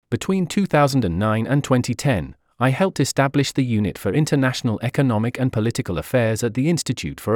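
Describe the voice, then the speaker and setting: An older man from the Netherlands giving measured, formal answers as an interviewee. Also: mid-range voice, darkish tone, dry recording, studio quality.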